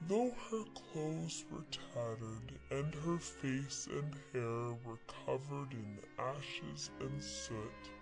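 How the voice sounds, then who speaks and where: A man reading a scripted fairy tale in a measured storybook delivery, with long pauses between phrases. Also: medium pitch, poor audio. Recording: music under the narration. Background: music.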